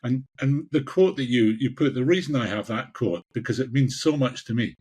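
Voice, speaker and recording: A Scottish man in his sixties with a husky voice, answering interview questions in a passionate, emphatic way and repeating phrases for emphasis. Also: medium pitch, moderate pace, darkish tone. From Scotland. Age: 60s. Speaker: executive coach, podcast guest.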